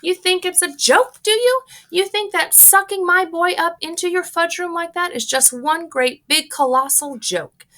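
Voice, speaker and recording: A woman voicing an indignant, furious mother who shrieks in a shrill voice. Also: normal speed, hardly any pauses, bright, forceful tone. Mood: outraged.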